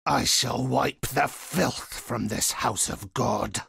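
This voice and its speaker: A man voicing a devout, grim, righteous old templar in a video game, solemn and preacherly, with a gravelly, husky voice. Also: medium pitch, slow pace, a bit bright, no room sound, studio quality, heavily acted. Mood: grave, brooding. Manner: solemn, pious, archaic preacherly phrasing. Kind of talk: dramatic video game character voice lines.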